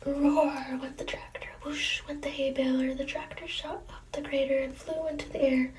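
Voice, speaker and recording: A woman's voice: high-pitched, flat delivery, normal speed, roomy sound. Young woman whispering a bedtime story. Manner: soft whisper with giggly asides. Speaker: storybook reader. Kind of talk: whispered ASMR story reading. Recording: distant mic, clear noise.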